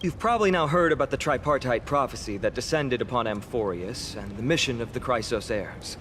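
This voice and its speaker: An actor playing a modest, duty-bound young warrior in a video game, speaking politely in measured, earnest phrases. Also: male voice, mid-range voice, fairly bright tone, slight background noise, close to an everyday voice. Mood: earnest, courteous.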